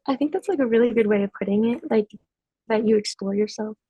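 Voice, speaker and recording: A woman's voice: fairly high pitch, fast talker, mellow, slightly rough, dry recording, poor audio. An eighteen-year-old woman talking casually in a student podcast discussion, with lots of "like" fillers. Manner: chatty, many "like" fillers.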